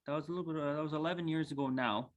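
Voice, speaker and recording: A man in his twenties from northern Saskatchewan, a cultural coordinator giving a relaxed, humble talk over a video call, with many ums and uhs. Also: fairly high pitch, very fast, lo-fi sound. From northern Saskatchewan, Canada. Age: 20s. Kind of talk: casual spoken presentation.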